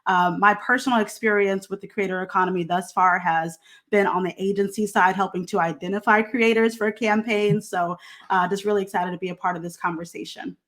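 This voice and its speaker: A woman's voice: medium pitch, steady tone, brisk, a bit bright. A woman from Missouri who works as a social media marketer, introducing herself on a panel in an upbeat, friendly way. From Missouri, USA.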